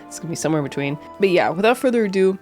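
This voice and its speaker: A woman's voice: lowish voice, lively intonation, rapid-fire, a little mellow. A young woman streamer with a head cold, a little nasal, talking earnestly to camera in a rambling, reflective way with many restarts. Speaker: streamer and video creator. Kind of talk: casual reflective commentary to camera. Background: faint background music.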